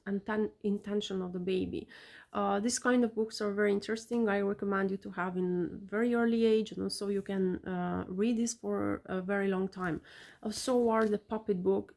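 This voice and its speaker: A woman's voice: medium pitch, creaky, a little mellow. A woman with an Albanian accent, a native Albanian speaker, giving earnest parenting advice in a casual vlog with careful non-native phrasing. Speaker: parent vlogger.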